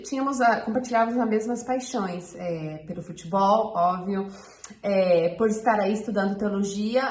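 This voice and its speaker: A woman from Brazil, a missionary, sharing her personal testimony in a chatty storytelling way with frequent fillers. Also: on the high side. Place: home in Guatemala. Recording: echoey room.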